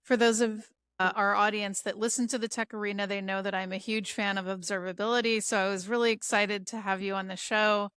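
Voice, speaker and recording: A woman's voice: mid-range voice, little pitch movement, brisk, hardly any pauses, fairly bright tone, clean tone, no room sound. A woman hosting an interview podcast in an upbeat, enthusiastic way. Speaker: podcast host.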